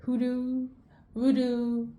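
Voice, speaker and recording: A young woman from Sweden delivers a rambling spiritual reading, full of fillers, restarts and self-corrections. Her voice sits a little high, with a soft, mellow tone. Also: completely flat delivery, extremely slow. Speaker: tarot reader.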